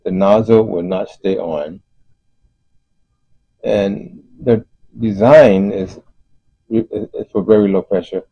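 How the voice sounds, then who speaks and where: A man giving an unscripted explanation as host of a DIY video, speaking haltingly with frequent pauses. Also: on the low side, fairly even intonation, creaky, dark tone, husky. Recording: muffled, roomy voice-over recording.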